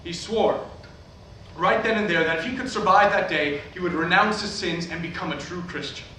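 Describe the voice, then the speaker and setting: A showy evangelist preacher, acted by a man on stage declaims with a salesman's emphasis. His voice is fairly high and forceful. It comes from far off in a very echoey hall. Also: moderate pace. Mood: solemn storytelling. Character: showy middle-aged evangelist preacher hawking miracle water. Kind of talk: theatrical sermon on a stage. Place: staged reading in a hall, recorded from afar. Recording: distant echoey hall, noisy room recording.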